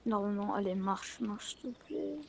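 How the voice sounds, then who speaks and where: An amateur performer playing an anxious, weary zombie apocalypse survivor in an improvised game roleplay, speaking haltingly and repeating words. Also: medium pitch, vocal fry, background noise, lightly acted. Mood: urgent, pleading. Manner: halting, repetitive.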